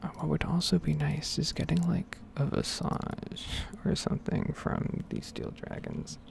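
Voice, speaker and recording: A man giving hesitant, rambling game commentary in a soft-spoken, whispered ASMR style. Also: fairly high pitch, flat delivery, measured pace, vocal fry, a little hiss or hum. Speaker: gaming video host.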